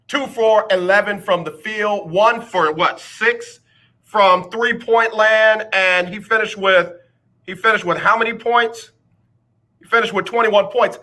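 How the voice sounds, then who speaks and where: A man's voice: high voice, bright, forceful tone. A man hosting a casual sports talk radio show, teasing listeners with rhetorical questions. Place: radio studio.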